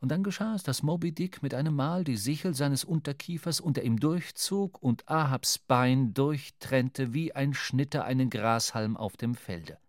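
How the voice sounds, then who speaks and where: A man narrating a literary adventure audio drama in a measured, intense, dramatic way. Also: medium pitch, no echo, clean studio sound.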